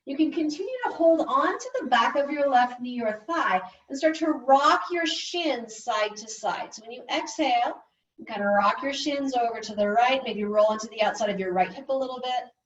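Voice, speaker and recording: A woman instructor calmly guiding gentle yoga and relaxation in an unhurried, soothing way. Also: fairly high pitch. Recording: roomy, distant, slightly muffled class recording.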